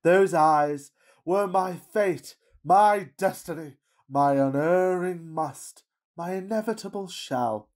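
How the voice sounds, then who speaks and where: A man playing a fussy, passionate Victorian gentleman in a farcical radio play, with pompous, declamatory delivery. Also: high voice, slow. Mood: defiant. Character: melodramatic, fussy Victorian gentleman suitor, self-pitying and passionate.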